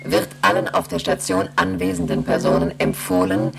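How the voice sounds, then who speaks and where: A super low creaky computer voice, totally impassive, reads out rules like a machine, radio play. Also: female voice, moderate pace, a little hiss or hum, full character voice. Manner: monotone, formal, literal recitation. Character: emotionless moon station computer reciting emergency rules. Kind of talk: German radio play acting.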